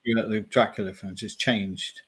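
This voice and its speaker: A man's voice: on the low side, steady tone, normal speed, fairly bright tone, slightly rough. A man with a Devonshire accent, co-hosting a podcast in casual film chat, hesitant with many fillers and restarts. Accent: Devonshire English. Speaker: podcast co-host.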